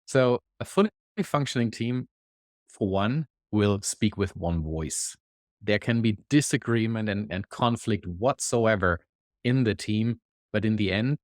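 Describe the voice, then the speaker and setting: A man with a German accent, a podcast guest, explaining his work in a thoughtful, measured way. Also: lowish voice, no echo, clean studio sound. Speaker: guest, executive consultant. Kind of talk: conversational interview.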